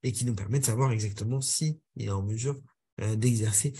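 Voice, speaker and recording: A man giving a webinar presentation over a video call, speaking haltingly with many filler sounds and restarts. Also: medium pitch, dark tone, breathy edge.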